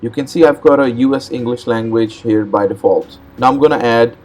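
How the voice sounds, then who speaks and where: A man whose first language is Urdu hosts a step-by-step computer tutorial, explaining in a relaxed, plain way with frequent "uh"s and repeated words. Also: medium pitch, brisk. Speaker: host. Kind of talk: step-by-step screen tutorial narration.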